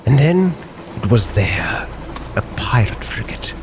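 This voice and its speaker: A man playing a grave, driven Victorian sea captain, solemnly narrating his log with theatrical, weighty pauses over music. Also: medium pitch, sing-song, noisy background. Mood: grave, suspenseful. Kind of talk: dramatic scripted storytelling, ship's log in character.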